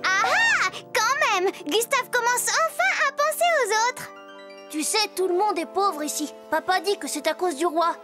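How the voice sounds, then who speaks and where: Piping, childlike young storyteller. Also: female voice, extremely high pitch, lively intonation, measured pace, music in the background. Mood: lively.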